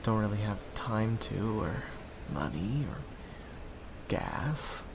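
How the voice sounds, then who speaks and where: Young guy doing a roleplay, slow easy drawl, faint hiss behind. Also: lowish voice, big pitch swings, vocal fry. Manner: casual, wry, drawling. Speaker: audio roleplay performer. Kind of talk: scripted audio roleplay.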